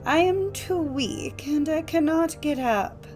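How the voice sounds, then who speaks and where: A sickly, bedridden old grandmother in a fairytale audiobook, acted by a woman. Her voice is high and feeble, each phrase drawn out weakly. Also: takes it slowly, darkish tone, faint music behind. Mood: weak, querying.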